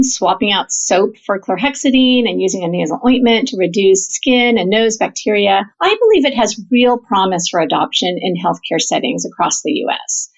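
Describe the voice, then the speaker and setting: A woman physician researcher explaining her work as an interview guest, articulate, earnest and emphatic. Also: medium pitch. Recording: slightly dull, lo-fi audio.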